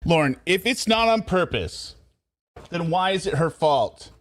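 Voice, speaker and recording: A man's voice: a little high, expressive, a little slow, dry recording. A man hosting true crime commentary, sarcastic and talking back at the recording he plays. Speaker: commentary host.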